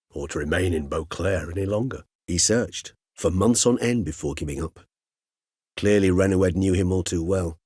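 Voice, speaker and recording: An actor voicing an erudite, gentle, world-weary old vampire scholar in a video game, speaking in measured, courtly, bookish phrases. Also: male voice, low-pitched, breathy edge, dry recording, studio quality. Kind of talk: video game character dialogue.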